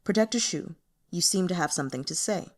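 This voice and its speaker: Calm tyrant of a demonic sect, read by a woman: unhurried, haughty, formal delivery for storybook fantasy. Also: fairly low pitch. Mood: coolly imperious. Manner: languid, unhurried, condescending, formal. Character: calm, imperious male demon sect lord. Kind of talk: audiobook character voice.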